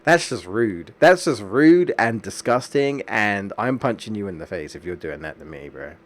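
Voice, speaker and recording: Man, stuffy nose, lowish pitch, rambling casual streamer chat. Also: animated, moderate pace. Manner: rambling, chatty, restarts sentences often. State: stuffy-nosed from a cold.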